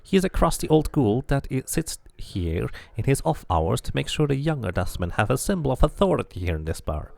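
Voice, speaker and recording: A man's voice: mid-range voice, very animated, a little fast, creaky, hardly any pauses, darkish tone. A man voicing a cranky, scornful old woman who gossips and mutters insults in a fantasy game. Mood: bitter, lecturing.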